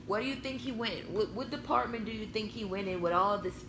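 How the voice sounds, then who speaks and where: A woman reading a storybook aloud to young children, expressive, pausing to explain and ask simple questions. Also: mid-range voice. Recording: echoey room with background noise.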